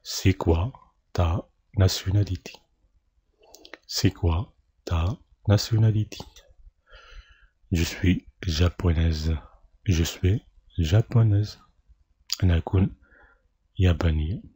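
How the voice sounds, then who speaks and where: A deep, low-pitched man with a husky, mellow voice teaches a dialogue, pronouncing each line slowly and repeating it again and again. The recording is dry and close, with no room sound. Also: no echo. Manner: explains each line in Arabic, then repeats the French slowly. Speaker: French teacher. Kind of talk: slow repeated drill of a French dialogue.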